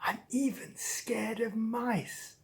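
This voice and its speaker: A woman's voice: a little high, slow pace, darkish tone, voice pushed up. A woman voicing a timid, tearful little male cat in a children's story, whiny and sniffly. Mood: timid, glum.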